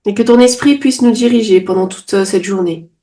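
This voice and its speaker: A woman's voice: mid-range voice, dark tone. A woman giving consecutive interpretation of a sermon into French, restating each phrase promptly and sometimes correcting herself mid-sentence. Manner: quick restating, occasional self-corrections.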